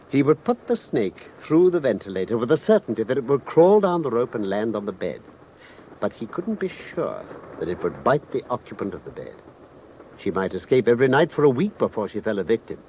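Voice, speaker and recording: An actor playing a shrewd, commanding gentleman detective in a British radio drama, speaking in a precise, articulate, authoritative manner with a British accent. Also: mid-range voice, big pitch swings, brisk, close to an everyday voice. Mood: calm, explanatory. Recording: noisy old radio drama recording.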